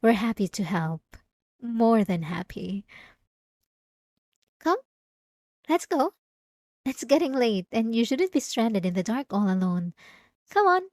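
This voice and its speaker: Woman acting a soft, obsessive church girl, dry close sound. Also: fairly high pitch, very animated, no room sound. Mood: sweet, solicitous. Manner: soft-spoken, halting, sugary politeness with an unsettling edge. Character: obsessive young church girl, sweetly devout, possessive stalker. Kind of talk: scripted ASMR roleplay monologue addressed to the listener.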